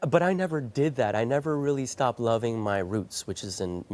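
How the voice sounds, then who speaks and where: A man's voice: mid-range voice, normal speed, a little mellow. A man from the Philippines, Tagalog his first language, chatting as a guest singer in an interview, with many fillers and restarts. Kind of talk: casual interview chat.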